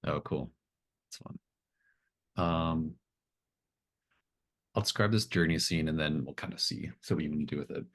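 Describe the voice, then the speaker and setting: A man's voice: a bass voice, moderate pace, long pauses, a bit bright. A man running a tabletop roleplaying game as game master, talking casually and explaining things in an encouraging way.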